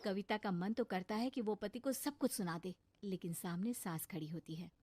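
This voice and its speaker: A woman's voice: medium pitch, moderate pace, a little mellow, slightly rough, no room sound. A woman reading scripted story narration as the narrator.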